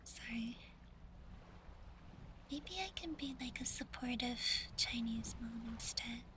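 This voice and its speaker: A woman whispering softly and breathily in a playful, self-deprecating ASMR chat with her listeners. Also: fairly high pitch, slow pace. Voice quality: whispery, breathy soft speech. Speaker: ASMR streamer. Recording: clearly audible ambient background noise.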